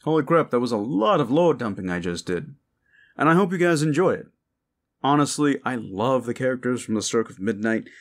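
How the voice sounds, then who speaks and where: A man's voice: medium pitch, lively intonation, a little fast. A man, a voice actor and channel host, chatting casually and earnestly to his listeners in an unscripted ramble.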